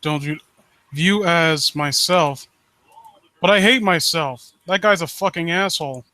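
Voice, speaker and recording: A man with a fairly high, bright voice and a dry, close sound hosts a live stream. He rambles casually, breaking off and restarting his sentences, with a joking, crude streak. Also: dry recording. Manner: rambling, halting, self-interrupting. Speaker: livestream host.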